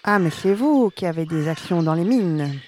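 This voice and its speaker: A woman's voice: fairly low pitch, lively intonation, moderate pace, darkish tone, a little hiss or hum, natural voice. A woman playing a brash, business-obsessed city woman who talks brusquely and scornfully.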